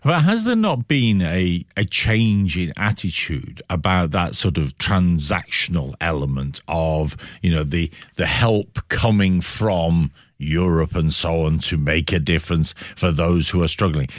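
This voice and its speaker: A middle-aged man interviewing on the radio, putting long, reflective questions with deliberate, emphatic phrasing. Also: deep voice, dry recording, studio quality.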